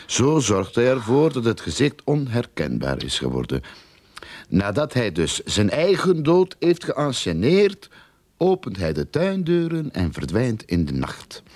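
An actor playing a cocky, sardonic private detective in a radio drama, with a Flemish accent and a probing, theatrical delivery. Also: male voice, mid-range voice, wildly swooping pitch, measured pace, a little mellow, dry recording. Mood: dramatic, smug. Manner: probing, theatrical, self-assured explaining. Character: cocky, sardonic male private detective who theorizes boldly.

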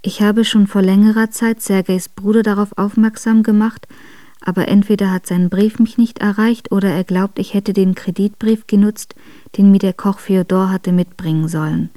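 A woman playing a devoted young exiled noblewoman in a radio drama, reading her letters in a measured, intimate and formal way. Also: mid-range voice, fairly even intonation, dark tone, dry recording, lightly acted. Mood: composed, pleading.

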